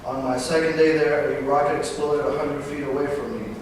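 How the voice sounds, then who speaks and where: A man in his twenties from Northern California, a veteran giving a personal talk, halting at times with fillers and self-corrections. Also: on the high side, steady tone. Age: 20s. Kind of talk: personal speech read to an audience. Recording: distant, echoey hall recording with background noise.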